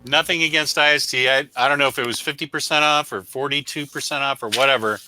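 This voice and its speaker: A man's voice: mid-range voice, fairly even intonation, quick pace, noisy background. A man co-hosting a casual, unscripted review show, chatting with viewers in a blunt, opinionated, emphatic way. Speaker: co-host of a comic book review show.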